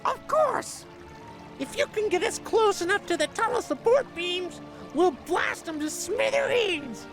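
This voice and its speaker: Little goblin demolition worker: very shrill, swooping delivery, excitable and eager, fantasy game. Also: female voice, unhurried, soft background music, heavily acted. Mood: excited, eager. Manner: peppy, excitable.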